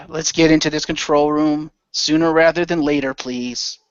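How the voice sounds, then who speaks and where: A man's voice: fairly high pitch, fairly even intonation, unhurried, clear, resonant tone, dry recording, muffled recording. A man playing in an improvised audio role-play, asking questions in character with a dry, skeptical manner.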